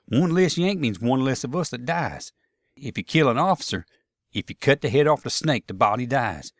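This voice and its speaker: Casting: man playing insistent Confederate infantryman, folksy Southern US drawl, animated pitch, close dry sound. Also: no echo, close to an everyday voice. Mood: insistent, scolding. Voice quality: slow drawl. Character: gruff, pushy Confederate soldier urging his comrade to shoot.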